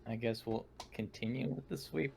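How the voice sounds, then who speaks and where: A man's voice: mid-range voice, normal speed, gravelly, a little hiss or hum. A man chatting casually as a player in an online tabletop roleplaying game. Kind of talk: casual chat.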